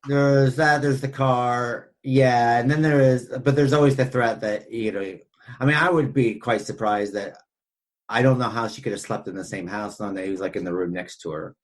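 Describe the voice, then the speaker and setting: A man's voice: medium pitch, moderate pace. A man co-hosting a podcast, discussing films casually in a rambling, anecdotal way peppered with "like" and "you know". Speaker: podcast co-host.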